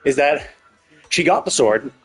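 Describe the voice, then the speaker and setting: A man's voice: a little high, lively intonation, a little slow, a bit bright, breathy edge. A man livestreaming casual commentary on a game's story, thinking aloud in a rambling, opinionated way. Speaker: live streamer.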